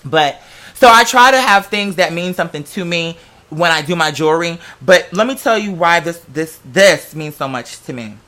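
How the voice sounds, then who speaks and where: Male vlogger, bright forceful high voice, casual storyteller, slangy, profane and theatrical. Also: lively intonation, normal speed.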